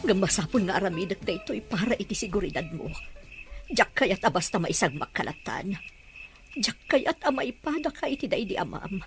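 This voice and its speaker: A woman's voice: medium pitch, expressive, moderate pace, over music, natural voice. A woman with a Filipino accent playing a caring, worried mother in a radio drama. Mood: pleading.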